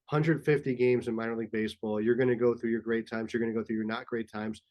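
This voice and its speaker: A man's voice: mid-range voice, level tone, quick pace, darkish tone. Curious man hosting a podcast. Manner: enthusiastic, curious interviewer. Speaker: podcast host. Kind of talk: casual podcast chat.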